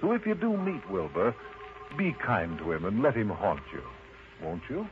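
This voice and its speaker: A man narrating a children's story in an expressive, unhurried manner, addressing the listener directly. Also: fairly low pitch. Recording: muffled lo-fi recording with loud noise.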